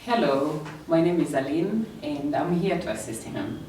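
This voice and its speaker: A woman reading short dialogue lines quietly as a teaching assistant. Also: sits low, very animated, moderate pace. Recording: echoey room, far from mic, faint noise.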